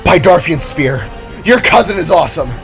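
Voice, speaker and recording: A man voicing an impulsive, brave male spark hero in an audio drama, shouting fervently and dramatically. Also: a little high, expressive, normal speed, creaky, pitched above the performer's own voice. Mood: thrilled. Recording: background music under the voice.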